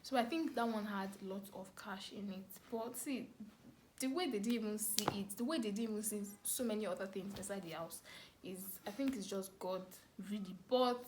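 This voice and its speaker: A woman's voice: medium pitch, moderate pace. Nigerian young woman, noisy recording. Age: young adult. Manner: expressive, repeats phrases for emphasis. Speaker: vlogger, storyteller. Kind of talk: casual personal storytelling to camera.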